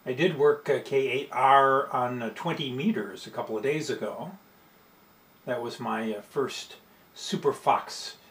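A man in his sixties with an American accent casually narrates an amateur radio demonstration in a halting, unscripted way. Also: medium pitch. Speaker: amateur radio operator and video host. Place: small home radio room, indoors. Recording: roomy, loud background radio noise. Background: loud radio hiss and signal tones.

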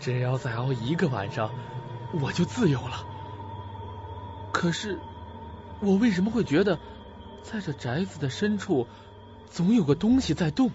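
Frantic, high-pitched, unraveling man. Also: sing-song, unhurried, long pauses, slight background noise. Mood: anxious muttering. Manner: agitated, muttering to himself. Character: terrified sleepless man unraveling into madness, spiteful. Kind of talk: suspense radio drama acting. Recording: dull lo-fi recording with loud-mixed music.

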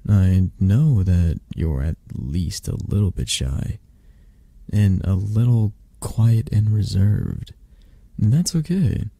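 Very deep, mellow, tender dragon boyfriend played by a man. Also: gravelly, slightly rough, no echo, exaggerated voice. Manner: soft, teasing, reassuring, hesitant pauses. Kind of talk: intimate ASMR roleplay. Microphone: close, dry microphone.

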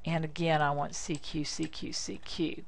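Low-pitched American woman giving a tutorial. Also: little pitch movement, creaky. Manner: informal, instructional, short deliberate phrases. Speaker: software demonstrator. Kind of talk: step-by-step screencast tutorial narration.

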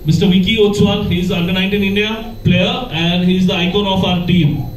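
A man's voice: high-pitched, fairly even intonation, a little fast, slight background noise. A man speaking formally for a cricket team at a launch event in a large hotel function room, polite with some fillers. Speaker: team representative. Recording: echoey hall, heard from far off.

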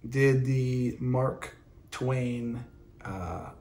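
A man in his forties talks through the day in a relaxed, unhurried way. His voice is mid-pitched for a man. A faint hum sits under the roomy echo of the camper. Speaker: vlogger. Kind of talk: casual chat. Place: inside a pop-up camper.

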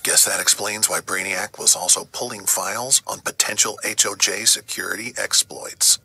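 An actor playing a flippant, sardonic tech schemer in a video game, dry and offhand. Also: male voice, lowish voice, fairly even intonation, normal speed, vocal fry, bright, forceful tone, breathy edge, full character voice. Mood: snide, offhand. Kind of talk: scripted video game acting.

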